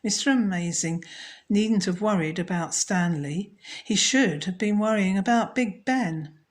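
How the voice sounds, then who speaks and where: A woman storyteller narrating a children's story in a measured, scripted read. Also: medium pitch.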